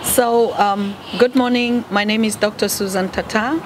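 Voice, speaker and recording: A woman's voice: medium pitch, steady tone, measured pace. Cameroonian woman speaking over background noise. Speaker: guest speaker, media founder. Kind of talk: press conference speech.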